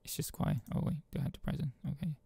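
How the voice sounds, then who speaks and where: A man giving a casual let's play of a visual novel, reading text aloud and rambling as he thinks out loud. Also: a little high, fairly even intonation, racing, creaky, slightly rough, no room sound. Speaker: gaming video host.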